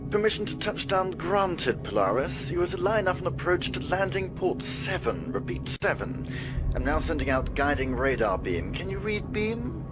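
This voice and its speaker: A man's voice: sits high, big pitch swings, normal speed, rough recording. A man playing a formal space station traffic controller making procedural calls in a dramatized science fiction audiobook. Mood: official, directing. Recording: music bed behind radio calls.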